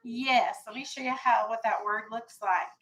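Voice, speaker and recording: A woman's voice: on the high side, moderate pace, a bit bright, a little hiss or hum, low-quality recording. An American woman teaching a vocabulary lesson to young children in a classroom, instructing and prompting with questions and directions. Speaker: elementary school teacher.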